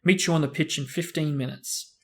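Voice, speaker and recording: A man's voice: fairly high pitch, steady tone, fairly quick, vocal fry. A man voicing a burly teenage sports captain, hearty and keen.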